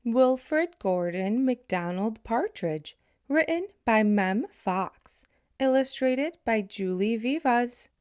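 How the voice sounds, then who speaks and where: A woman reading a picture book aloud to children in a deliberate, expressive storytelling manner. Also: on the high side.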